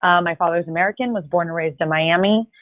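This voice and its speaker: A woman's voice: lowish voice, fast talker, no echo. A young adult woman, a native Spanish speaker, talking as a sports reporter guest in an earnest, rambling way with light laughs. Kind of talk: casual conversational interview. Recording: dull lo-fi sound.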